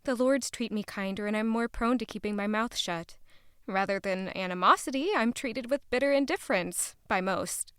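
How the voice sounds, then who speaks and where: A woman's voice: mid-range voice, normal speed, fairly bright tone, clean tone, no room sound, clean studio sound, close to an everyday voice. A woman playing a thoughtful young royal who narrates her own story in an audio drama. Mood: reflective.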